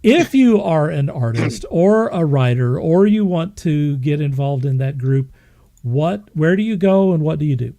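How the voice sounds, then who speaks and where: A woman hosting a podcast, asking questions in a casual, halting, measured way. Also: deep voice, lively intonation, dark tone, no echo. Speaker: podcast host. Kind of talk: casual interview chat.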